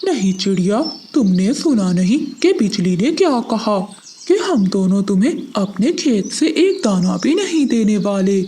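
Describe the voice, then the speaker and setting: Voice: medium pitch, big pitch swings, unhurried, warm tone, a little hiss or hum. A performer voicing a stingy male crow in a children's cartoon, hard and threatening in manner. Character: stingy, menacing male crow guarding his field. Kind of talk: animated children's moral story dialogue.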